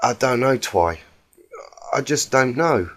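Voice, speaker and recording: A man's voice: medium pitch, expressive, slow pace, fairly bright tone. A man voicing a worried, weary young female cartoon country pony with an earnest, folksy way of talking. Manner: folksy, uses homely pet names.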